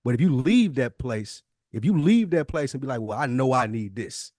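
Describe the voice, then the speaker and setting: A man's voice: medium pitch, expressive, moderate pace, a little mellow, dry recording, clean studio sound. An American man co-hosting a podcast, talking casually in long, emphatic, opinionated explanations. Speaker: podcast co-host. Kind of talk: casual conversational discussion.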